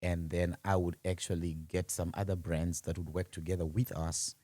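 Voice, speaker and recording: A man's voice: deep voice, level tone, moderate pace, dark tone, dry recording. A man with a Zimbabwean accent speaking as a podcast guest, answering interview questions conversationally about his own work. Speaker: podcast guest, audiovisual and livestreaming business founder.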